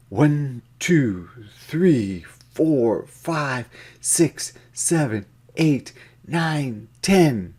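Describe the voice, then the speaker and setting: A man reading a children's counting book aloud in a deliberate, interactive way, with sing-song counting and repetition for young listeners. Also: lowish voice, darkish tone.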